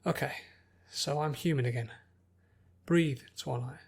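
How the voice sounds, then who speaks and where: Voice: mid-range voice, measured pace, creaky, darkish tone, husky. A narrator voicing an anxious, bookish young woman who speaks carefully and earnestly in an acted audiobook. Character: anxious, bookish pony princess turned human young woman.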